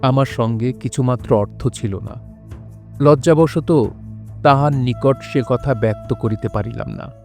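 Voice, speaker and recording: An actor playing a courteous, grateful nobleman who recounts his past in formal, measured words in an audio drama. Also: mid-range voice, gravelly, a little mellow. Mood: earnest recounting. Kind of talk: audio drama first-person storytelling, formal literary diction. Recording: music behind the voice.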